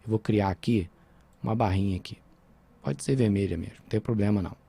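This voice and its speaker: Low, husky Brazilian teacher man. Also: fast, dark tone. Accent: Brazilian Portuguese. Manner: informal, checks in with "tá?" and "né?". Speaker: programming teacher. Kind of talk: screen-recorded coding lesson.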